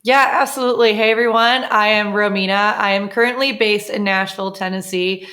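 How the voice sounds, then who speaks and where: Woman, from the Netherlands, interview guest, chatty, rambling, casual, mid pitch. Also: steady tone, a little fast, pushed and bright. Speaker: PR agency owner, guest. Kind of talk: interview conversation.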